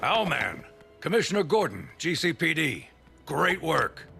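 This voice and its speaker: A middle-aged police commissioner in a cartoon game, gruff but earnest. The delivery is very gravelly and slow, with dramatic rises and falls. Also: male voice, a little high, sing-song, sharp tone, soft background music, exaggerated voice. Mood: earnest, puzzled.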